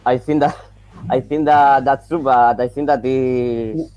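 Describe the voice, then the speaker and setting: A Spanish-speaking man from Colombia with a Colombian accent, calling in over video and halting as he searches for English words. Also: medium pitch, steady tone, a little mellow, no echo. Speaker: caller. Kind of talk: call-in discussion. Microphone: video call.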